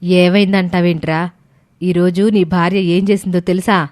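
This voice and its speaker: A woman's voice: fairly low pitch, little pitch movement, normal speed, darkish tone, close to an everyday voice. Woman voicing an indignant, scolding older mother. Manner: scolding, plaintive.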